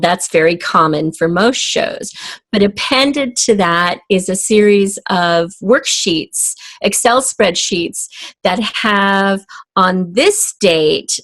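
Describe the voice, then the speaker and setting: A woman's voice: on the low side, fairly bright tone, dry recording. A woman speaking as an interview guest, explaining her writing process in a conversational way. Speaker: guest, author of an audio drama.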